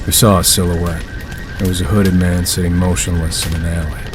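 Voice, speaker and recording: An actor playing a weary, vengeful killer who speaks in a grim, deliberate way, as in a dramatic game cutscene. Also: male voice, sits low, flat delivery, close to an everyday voice. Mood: grim, brooding. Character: weary, brooding vengeful male killer with inner beast. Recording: music behind the voice.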